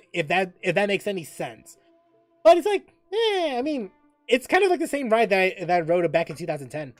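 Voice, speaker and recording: A man hosting a livestream in casual, rambling chat, often halting to repeat and rephrase himself mid-sentence. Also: high voice. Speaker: livestream host.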